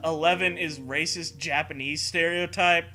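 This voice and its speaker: Bright, high-pitched man riffing crudely. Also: fairly even intonation, moderate pace, soft background music. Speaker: comedy show co-host. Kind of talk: casual comedic commentary on a creepypasta.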